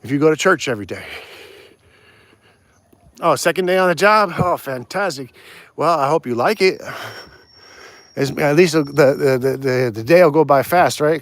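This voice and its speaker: A man's voice: medium pitch, dry recording. A man livestreaming on a walk outdoors, rambling casually with many restarts and answering viewer comments. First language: English. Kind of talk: casual live stream chat.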